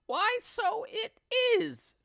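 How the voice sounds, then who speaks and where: A man's voice: extremely high pitch, big pitch swings, very slow, creaky, bright, forceful tone, voice pushed up, full character voice. A man voicing a gullible, frightened old wife in a storybook, exclaiming fretfully and drawing out words. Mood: puzzled.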